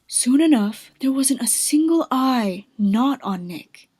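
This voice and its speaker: A woman narrating a radio drama from a script in a husky, breathy voice. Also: high voice, animated, slow, a little mellow.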